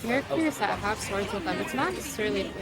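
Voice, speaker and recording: A woman's voice: medium pitch, lively intonation, fast, a loud crowd. A woman chatting casually as a co-commentator, asking questions. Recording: loud game music behind the voice.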